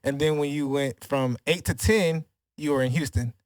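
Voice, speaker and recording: A man's voice: on the high side, normal speed, darkish tone, no room sound. A man hosting a podcast interview, joking and slangy, laughing often. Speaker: podcast host. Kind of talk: casual interview banter.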